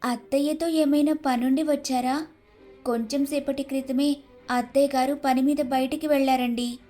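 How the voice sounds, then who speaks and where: A woman's voice: high voice, steady tone, moderate pace, warm tone, faint music behind, close to an everyday voice. A woman playing an earnest, respectful young daughter-in-law in a moral story. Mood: polite. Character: eager, grateful, trusting young daughter-in-law. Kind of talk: scripted storybook acting.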